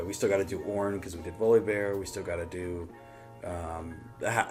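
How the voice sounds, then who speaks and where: A man hosting a reaction video, chatting casually in a rambling, laid-back way. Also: sits low. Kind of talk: casual chat.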